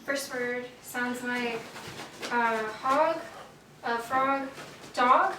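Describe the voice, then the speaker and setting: A woman's voice: a little high, drawn-out words, bright, forceful tone, exaggerated voice. A woman in a stage play, playing a bossy, chirpy party hostess. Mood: excited. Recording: echoey distant stage recording, faint noise.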